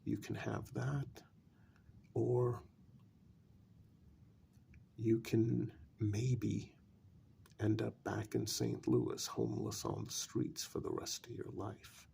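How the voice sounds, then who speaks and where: A man playing a calm, coldly persuasive vampire in a soft-spoken ASMR role-play, talking deliberately in a gravelly, breathy voice. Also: on the low side, slight background noise, heavily acted. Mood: coaxing, quietly menacing. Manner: slow, deliberate, pausing between phrases.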